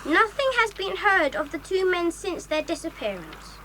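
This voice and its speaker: A cheeky schoolboy in an audio adventure drama. The voice is extremely high and bright, brisk and jokey, over faint noise. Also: female voice, sing-song, exaggerated voice, rough recording. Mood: curious.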